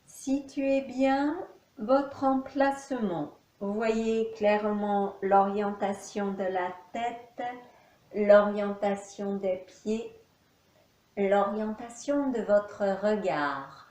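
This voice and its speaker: A woman teacher guiding a yoga nidra relaxation session in slow, soft, calm instructions with long pauses. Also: mid-range voice, echoey room. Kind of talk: guided relaxation.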